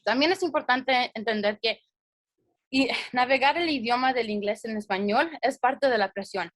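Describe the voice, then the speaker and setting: A woman's voice: fairly high pitch, normal speed, fairly bright tone, dry recording. A young woman giving a community presentation, earnest and hesitant, with many fillers and restarts.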